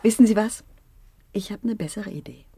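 An actress playing a flirtatious married woman in a radio crime drama, coaxing and teasing, at times dropping into a breathy whisper. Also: medium pitch, moderate pace, mellow, a little hiss or hum, lightly acted.

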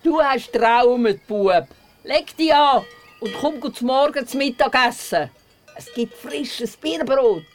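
An older woman with a Swiss German accent playing a gruff but caring grandmother in a radio play, brusque and teasing. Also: high-pitched, measured pace, soft background music.

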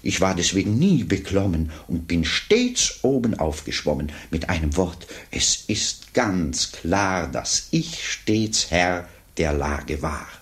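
An actor playing a wry, self-assured narrator, reciting a rhymed prologue in measured, theatrical 1950s radio style. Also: male voice, low-pitched, big pitch swings, full character voice. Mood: smug, amused. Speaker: narrator introducing a radio play.

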